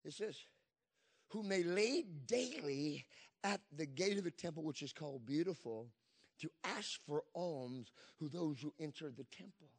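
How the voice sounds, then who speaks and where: A man's voice: fairly high pitch, lively intonation, a little slow, slightly rough. A man in his fifties preaching a Pentecostal sermon, emphatic, with frequent call-and-response asides to the congregation. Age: 50s.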